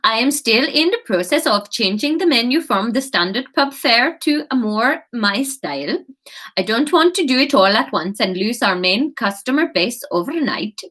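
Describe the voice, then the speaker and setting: A woman voicing a confident female head chef with a put-on Swedish accent, brisk and matter-of-fact. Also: a little high, lively intonation, fairly bright tone.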